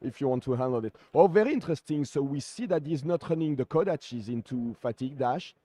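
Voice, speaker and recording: A man co-commentating a card game tournament in a conversational, somewhat halting way. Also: medium pitch, lively intonation, dark tone, no room sound.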